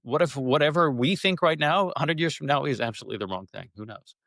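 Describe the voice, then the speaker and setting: A man speaking as an interview guest and actor, telling stories casually in an animated, digressive way and often stammering over repeated words. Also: fairly high pitch, fast, gravelly, no room sound, clean studio sound.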